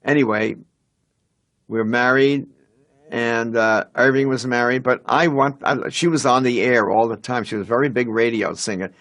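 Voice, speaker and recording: A man's voice: medium pitch, normal speed, fairly bright tone, no echo, studio quality. An older man in an interview, reminiscing in a rambling way. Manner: rambling, with fillers and restarts.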